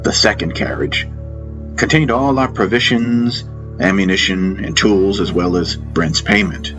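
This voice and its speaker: A man's voice: low voice, animated, measured pace. A man reading a first-person fantasy story as scripted audiobook narration. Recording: music bed behind narration. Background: background music.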